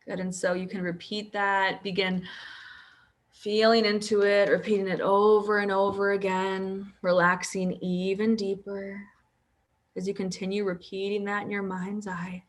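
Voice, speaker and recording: Slow, soft-spoken woman guiding a meditation. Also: mid-range voice, flat delivery. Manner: slow, soothing and soft-spoken, often near a whisper. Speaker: meditation guide. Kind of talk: guided sleep meditation.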